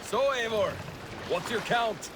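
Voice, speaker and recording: An actor playing a needling, competitive Viking raider in video game dialogue. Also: male voice, high voice, expressive, slow pace. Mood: teasing. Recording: clear water and boat noise.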